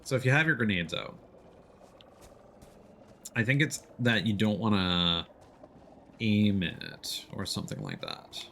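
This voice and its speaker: A man's voice: fairly low pitch, sing-song, measured pace, pauses often, fairly bright tone. A man giving casual gameplay commentary on a stream, thinking aloud in a hesitant way as he plays. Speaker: livestreamer, gamer. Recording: clear game noise behind. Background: video game sounds.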